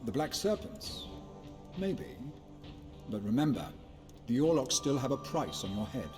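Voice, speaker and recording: Cautious mentor, wry, knowing, animated pitch, game cutscene, music behind. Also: male voice, a little slow. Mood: watchful, cautioning. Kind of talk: video game cutscene acting.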